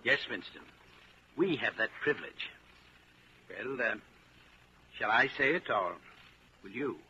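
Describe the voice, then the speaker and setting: A solemn, secretive conspirator with cool authority in a 1940s radio play. He speaks formally and with measure, in a bright, forceful voice. The sound is muffled and faintly hissy. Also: mid-range voice, expressive, a little slow. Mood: calm, secretive. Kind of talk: 1940s radio drama acting.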